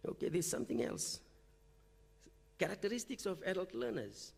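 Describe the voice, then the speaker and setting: Lecturer, male, from Fiji; high voice with a gravelly edge, chatty conference-talk delivery. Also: very animated, normal speed. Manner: conversational, frequent "okay?" check-ins. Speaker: university lecturer presenting at a teaching forum. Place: lecture hall or forum room.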